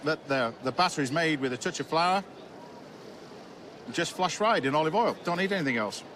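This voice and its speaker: Man, chef presenting outdoors, chatty and upbeat, slightly high voice, clear traffic and street noise behind. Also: lively intonation, normal speed, fairly bright tone. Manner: chatty, enthusiastic, food-focused asides. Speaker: chef and TV host. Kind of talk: casual presenting to camera. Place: busy city street outdoors.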